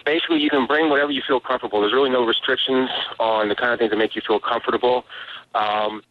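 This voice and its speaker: A man, a spokesman giving halting, informative answers in a live phone interview over a tinny line. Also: medium pitch, steady tone. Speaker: relief agency spokesman. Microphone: telephone.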